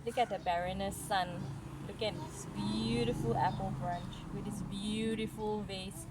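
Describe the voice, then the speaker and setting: A woman's voice: medium pitch, steady tone, moderate pace, very noisy. A mother reading a children's story aloud, breaking off for casual explanations and questions to her child.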